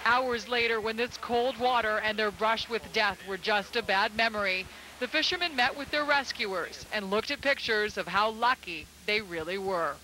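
A woman's voice: on the high side, normal speed, background noise. A woman reporter reading a scripted news voice-over with urgent, dramatic delivery.

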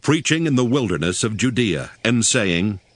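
A man's voice: on the low side, very animated, moderate pace. A man narrating scripture from a script in a solemn, formal manner.

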